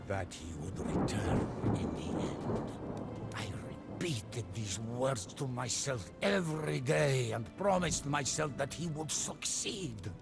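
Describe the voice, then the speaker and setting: Grave male storyteller, medieval war tale, dramatic game narration, unhurried pace, prominent music underneath. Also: medium pitch, creaky. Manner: solemn, storytelling. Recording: music behind the voice.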